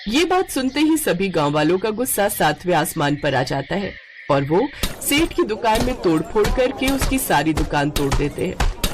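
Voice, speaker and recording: A woman narrating a children's moral story in an expressive scripted storytelling style. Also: lowish voice, moderate pace, over music.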